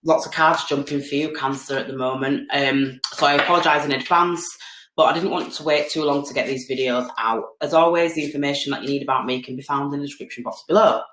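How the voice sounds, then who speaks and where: A woman's voice: rumbling low, steady tone, quick pace, fairly bright tone, slight background noise. A British woman with a bit of a cold gives a casual tarot reading, chatty and full of "okay?" and "um". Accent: British English. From United Kingdom. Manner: chatty, repeats "okay?" and "um" often. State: has a cold, sniffly. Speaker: tarot card reader. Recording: echoey room.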